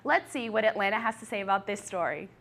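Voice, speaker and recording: A woman's voice: mid-range voice, animated, fast, gravelly, a bit bright. Chatty woman reading a light news story. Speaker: news anchor. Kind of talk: scripted news-style story.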